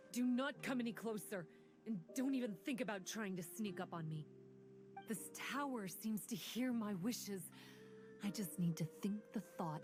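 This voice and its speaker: A woman voicing a young female vishap raised among creatures, who speaks in a cold, grave and measured way. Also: on the low side, close to an everyday voice. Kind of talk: video game voice acting.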